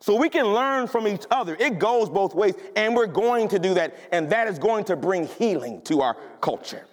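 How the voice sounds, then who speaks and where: High-voiced pastor preaching in a reverberant hall. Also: male voice, animated, normal speed. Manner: emphatic, conversational preaching with rhetorical questions. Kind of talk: sermon.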